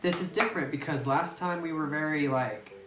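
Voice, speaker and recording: A let's-play commentator muses aloud in a loose, meandering way, stopping and restarting and leaning on "like" between thoughts. The voice sits at a middle pitch. It was captured on a phone in a room with noticeable echo. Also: fairly quick, noisy background. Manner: rambling, thinking aloud, heavy use of "like". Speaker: gameplay commentator. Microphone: phone microphone. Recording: roomy echoey phone recording.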